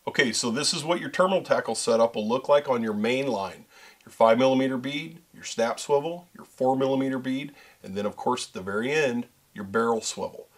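A man's voice: medium pitch, a little fast. A middle-aged man giving a step-by-step how-to demonstration as a show co-host, talking straight to viewers. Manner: step-by-step instructive, addresses viewers as folks and guys. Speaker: fishing pro staffer and co-host. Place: small studio set with backdrop.

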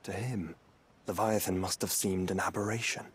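An actor playing a composed, learned fantasy game companion, husky and breathy, speaking in measured, eloquent phrases. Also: male voice, sits low, steady tone. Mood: pensive. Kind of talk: dramatic video game acting.

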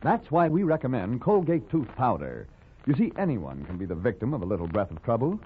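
A man's voice: medium pitch, huge pitch swings, fairly quick. A man announcing in an emphatic, persuasive 1940s radio advertising style. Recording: tinny old radio, faint noise, clicks.

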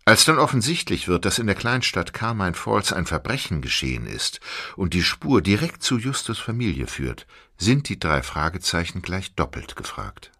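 A man's voice: low voice, big pitch swings, normal speed, few pauses, bright, forceful tone, breathy edge, dry recording, clean studio sound. A man narrating a detective audio drama in a scripted storytelling style.

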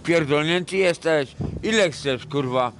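A middle-aged man from Poland, high and rambling, swearing a lot in a casual talk on a city street at night. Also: a little slow, a bit bright, a little hiss or hum. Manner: crude and sweary, rambling, mixes in broken English. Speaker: homeless man interviewed on the street. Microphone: vlogger's handheld camera mic.

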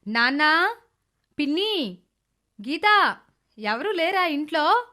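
A woman's voice: sits high, sing-song, takes it slowly, sharp tone, heavily acted. A woman voicing a meek, respectful young pregnant stepdaughter in a dramatized moral story. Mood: polite, searching. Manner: polite, pleading.

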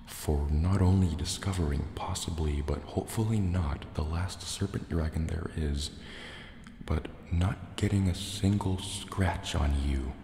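An extremely low, dark-toned sea serpent dragon , played by a man, echoes through a cave. He is huge, proud and wary, murmuring soft threats with deliberate care in an ASMR roleplay. Faint water ambience sits behind the long reverb. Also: slight background noise. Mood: reluctant, haughty. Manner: slow, deliberate, threatening yet hesitant. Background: water sounds and cave ambience.

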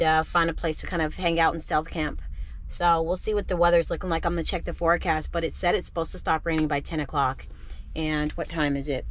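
Chatty woman vlogging from her van. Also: fairly low pitch. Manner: chatty, self-assured, calls herself "your girl". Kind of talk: casual vlog chat to camera. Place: inside a parked van and outdoors in a city lot, also in a store. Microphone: handheld camera at arm's length.

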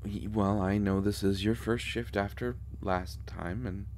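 A man playing a slow, deep-voiced fussy vampire doctor. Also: fairly even intonation, darkish tone, a little hiss or hum. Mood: concerned, fussing. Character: immortal male vampire doctor, fussy, protective, teasing friend. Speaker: audio roleplay voice actor. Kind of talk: intimate scripted audio roleplay.

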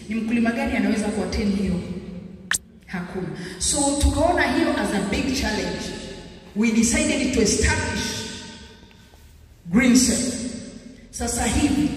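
Animated Tanzanian woman in an echoey hall. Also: a little high, a little hiss or hum. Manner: animated, gestures while walking the stage. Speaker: public lecture speaker. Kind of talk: public lecture mixing Swahili and English. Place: large hall with stage. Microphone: handheld mic. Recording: echoey hall recorded from far off.

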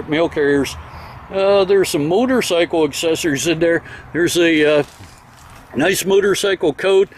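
A man with a notably high voice talks through auction items in a casual, unscripted way. His delivery is folksy and comes in short itemizing phrases. He is recorded outdoors on a handheld camera, with faint ambient noise behind him. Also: a little mellow. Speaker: auction house presenter. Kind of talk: casual off-the-cuff walkthrough commentary of auction items. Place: outdoors in an open-air auction yard. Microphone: handheld camera held by the speaker.

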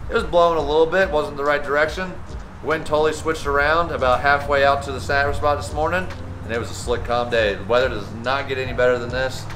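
A man's voice: medium pitch, background noise. A young man, a fishing charter captain, giving an easygoing recap of the day to a handheld camera at an outdoor marina dock. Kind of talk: casual fishing report to camera.

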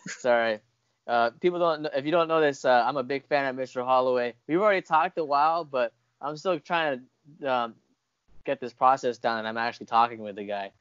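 A man's voice: medium pitch, brisk, fairly bright tone, clear, resonant tone, no echo. A young man hosting a casual interview, a little hesitant and apologetic as he talks. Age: young adult. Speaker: interviewer, host. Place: room at home, video call. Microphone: wired earphones on video call. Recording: dull, lo-fi video call audio.

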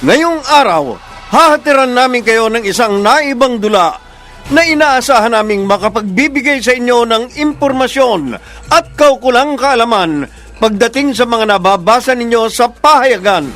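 A male radio drama host with a very high pitch. He delivers scripted introductions theatrically, with music playing behind him. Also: expressive, moderate pace, a bit bright.

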